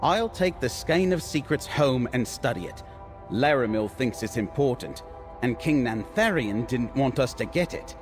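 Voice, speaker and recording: Man playing a scholarly fantasy game companion, earnest, mid pitch, lively intonation, reverberant, faint music. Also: moderate pace, natural voice. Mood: thoughtful, resolute.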